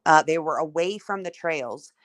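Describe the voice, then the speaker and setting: A woman co-hosting a casual chat, reading a summary aloud in a steady, plain way. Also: sits low, normal speed. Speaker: co-host recapping trial notes.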